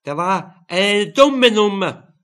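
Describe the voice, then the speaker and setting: A man's voice: high-pitched, lively intonation, slow, fairly bright tone, voice pushed up, full character voice. A man voicing a brash, mocking middle-aged Viennese prostitute in an audiobook, blunt and teasing. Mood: scornful, blunt. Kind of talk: audiobook character voice.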